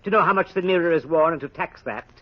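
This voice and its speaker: Actor as a husky, grasping innkeeper. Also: male voice, fairly high pitch, fast, natural voice. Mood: sly, grasping. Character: cunning, grasping male innkeeper. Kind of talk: old-time radio drama acting. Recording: muffled, husky-sounding old radio recording.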